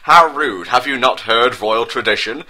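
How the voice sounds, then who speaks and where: Man acting a macho cartoon cat, brash, very bright forceful voice, pompous, stilted broken English. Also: medium pitch, lively intonation, measured pace, heavily acted. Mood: boastful.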